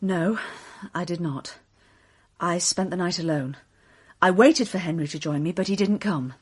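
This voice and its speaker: An actress playing an earnest, thoughtful woman witness with quiet intensity in a radio mystery drama. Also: fairly low pitch, normal speed, breathy edge. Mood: uneasy, candid. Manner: earnest, reflective, emphatic. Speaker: actor.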